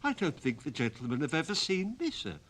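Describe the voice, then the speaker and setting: Brief: timid, stammering sexton, played by a male reader, quiet and shaky, bright tone. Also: a little high, huge pitch swings, brisk, pitched above the performer's own voice, heavily acted. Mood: frightened. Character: terrified, stammering old male gravedigger. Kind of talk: dramatic storybook reading.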